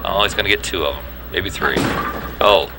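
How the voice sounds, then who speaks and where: A man giving relaxed, knowing commentary on a youth bowling match, explaining shots as they happen. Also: low voice, normal speed. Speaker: color commentator. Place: bowling alley. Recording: noisy bowling alley ambience.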